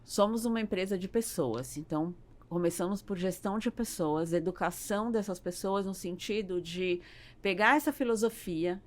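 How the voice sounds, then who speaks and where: A woman's voice: mid-range voice, moderate pace. A Brazilian woman speaking as a business owner in a conversational podcast interview, explaining and repeating words as she thinks.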